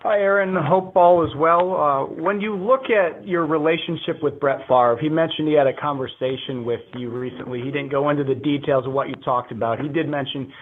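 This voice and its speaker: A man with a fairly high voice puts a reporter's question in prepared sentences. He comes through a tinny, phone-like conference line. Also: steady tone, brisk, few pauses. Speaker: sports reporter.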